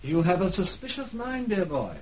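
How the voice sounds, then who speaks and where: An actor playing an urbane, pointed brain surgeon with a British accent, polished and insinuating, in a 1970s radio drama. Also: male voice, sits high, sing-song, moderate pace, a little mellow, slight background noise. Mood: suave, sly. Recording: dull, muffled 1970s radio recording.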